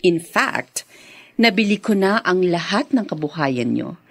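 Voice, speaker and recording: A woman's voice: fairly low pitch, expressive, a little slow, no room sound. An actress playing a vengeful, gloating rich woman boss in a Filipino radio drama, with a mocking, theatrical delivery. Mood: taunting.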